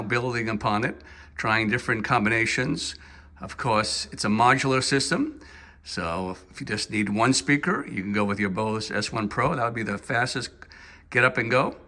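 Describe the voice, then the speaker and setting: Bright-voiced man demoing audio gear. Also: medium pitch. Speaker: audio gear reviewer and demonstrator. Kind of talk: casual explanatory product demo.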